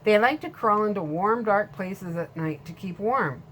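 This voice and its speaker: A woman's voice: medium pitch, expressive, measured pace, fairly bright tone, a little hiss or hum, low-quality recording. A woman voicing a blunt, friendly male storekeeper in a storybook reading, speaking in a matter-of-fact way. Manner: plain-spoken, matter-of-fact.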